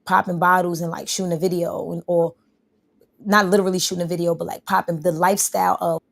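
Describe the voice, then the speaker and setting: A woman rapper answering interview questions. Her voice is low, gravelly and fairly flat. She rambles loosely, full of fillers. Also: fast talker. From New York. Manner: rambling, relaxed, many fillers.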